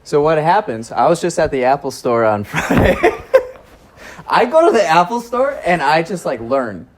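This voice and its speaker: A man's voice: a little high, big pitch swings, normal speed. Rambling, chuckling man podcaster. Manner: rambling storyteller with frequent restarts and laughs. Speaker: podcast host. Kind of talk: casual chat. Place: cousin's home garage. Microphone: phone in a chest pocket.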